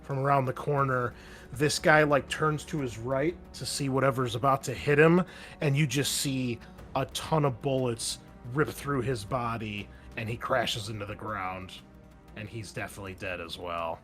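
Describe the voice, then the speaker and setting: A man narrates a tabletop game as its game master, describing gunfights in a loose, chatty way. His voice sits at an ordinary pitch. Faint music plays behind him. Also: fairly even intonation, moderate pace, fairly bright tone. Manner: vivid, casual combat narration with fillers.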